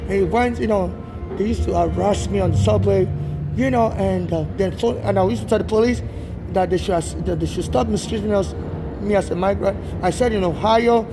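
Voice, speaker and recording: A man's voice: high-pitched, brisk, over music, poor audio. A man from Nigeria with a Nigerian-tinged American accent, talking in an urgent, repetitive street monologue with many restarts. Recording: street noise outdoors.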